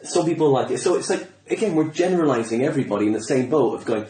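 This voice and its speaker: A man's voice: mid-range voice, lively intonation, rapid-fire, mellow. A man hosting a casual, bantering podcast chat, rambling and often restarting his sentences. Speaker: podcast host; stand-up comedian. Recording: roomy, echoey sound.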